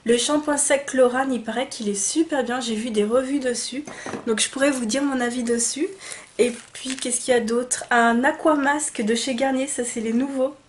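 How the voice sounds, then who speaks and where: A young woman with a stuffy, blocked nose, chatting casually and excitedly as she unboxes beauty products. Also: high voice, moderate pace, a little hiss or hum. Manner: chatty and enthusiastic, many fillers and restarts. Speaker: beauty vlogger. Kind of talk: casual unboxing chat to camera.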